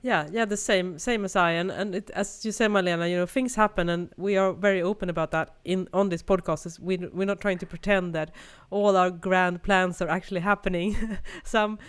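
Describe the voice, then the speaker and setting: A woman's voice: lowish voice, steady tone, fairly quick, hardly any pauses, clean tone. A woman co-hosting a podcast in reflective casual chat, speaking with a cold. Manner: reflective, thoughtful, many "you know"s. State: has a cold. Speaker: podcast co-host.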